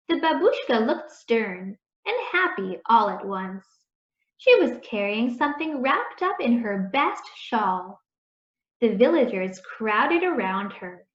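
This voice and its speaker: A woman reading a children's story aloud in a deliberate, expressive storytelling manner. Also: a little high. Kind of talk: read-aloud storytelling, scripted narration. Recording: echoey room, dull muffled sound.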